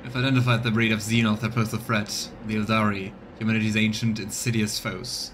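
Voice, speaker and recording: A man doing live let's play commentary, reading game text aloud and thinking out loud for his viewers. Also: lowish voice, flat delivery, a little fast, a little hiss or hum. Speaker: live streamer voicing a game playthrough.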